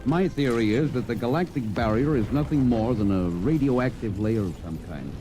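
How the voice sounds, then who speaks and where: An American man playing a gruff, confident, middle-aged spaceship captain in a vintage radio drama, brisk and plain-spoken. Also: medium pitch, animated, close to an everyday voice. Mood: firm, defiant. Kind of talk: old-time radio drama acting. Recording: vintage radio broadcast, music behind.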